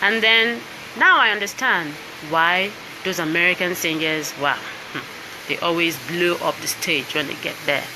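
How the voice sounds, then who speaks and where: A woman's voice: fairly low pitch, normal speed, background noise. A Nigerian woman, a singer and voice coach, talking casually to viewers on a live stream from indoors and often checking in with "all right?" and "you understand?". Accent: Nigerian English. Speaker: singer and voice coach presenting her book. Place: indoors with piano and laptop.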